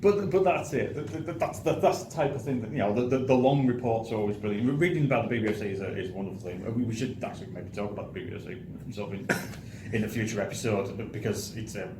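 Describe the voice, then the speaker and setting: A British man hosting a film review show in casual chat, speaking haltingly and often repeating his words. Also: lowish voice. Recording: roomy echo, clearly audible background noise.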